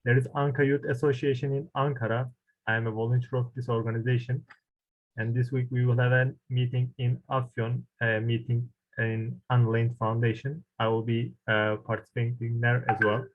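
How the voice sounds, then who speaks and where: A man introducing himself on a video call, earnest and a bit formal, pausing as he searches for words. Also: medium pitch, little pitch movement. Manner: earnest, slightly formal, halting. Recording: thin phone-like call audio, dry.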